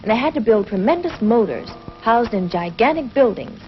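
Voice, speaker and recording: An actress playing a bright, curious young scientist from the future in 1950s television science fiction, inquisitive and earnest. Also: female voice, a little high, animated, moderate pace, close to an everyday voice. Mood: curious, spirited. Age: young adult. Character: bright young female space-age scientist, curious and spirited. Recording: noisy, dull 1950s television soundtrack.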